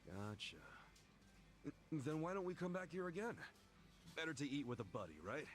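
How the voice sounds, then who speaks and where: A man playing an easygoing, kindly and slightly gruff protector in a video game, with a casual, reassuring manner. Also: on the high side, sing-song, moderate pace. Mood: friendly.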